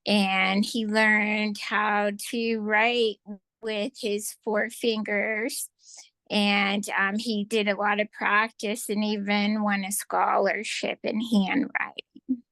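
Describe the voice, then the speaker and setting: A woman guest in a casual interview who shares reflective stories, often pausing with fillers. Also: medium pitch, level tone, fairly bright tone, dry recording. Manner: reflective storyteller, lots of fillers.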